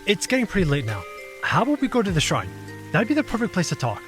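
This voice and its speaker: A man playing a gentle, thoughtful and knowing guide in an audio drama, with a measured explanatory manner. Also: sits high, wildly swooping pitch, soft background music, exaggerated voice.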